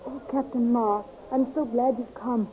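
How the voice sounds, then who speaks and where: An actress playing an eager young captive princess in a melodramatic, breathless 1930s radio style. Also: female voice, on the high side, steady tone, a little slow, slight background noise, natural voice. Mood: hopeful, eager. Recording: muffled old radio recording.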